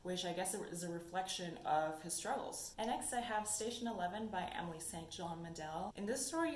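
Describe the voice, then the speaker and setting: A young woman whose first language is German, chatting casually about books in a haul video and thinking aloud as she goes. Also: lowish voice. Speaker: book vlogger. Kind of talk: casual book haul chat. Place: sunny room indoors. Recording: echoey room with faint noise.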